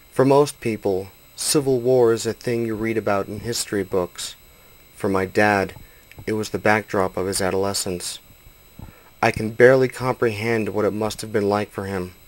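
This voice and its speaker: A man's voice: on the low side, low-quality recording. A man reading scripted narration as a voice-over narrator and reporter.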